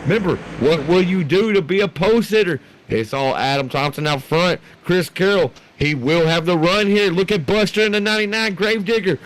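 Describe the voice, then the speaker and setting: A man giving excited, folksy live play-by-play commentary on a sim race, in an animated and colloquial way. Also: high voice, fairly quick, hardly any pauses, poor audio. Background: racing game vehicle noise.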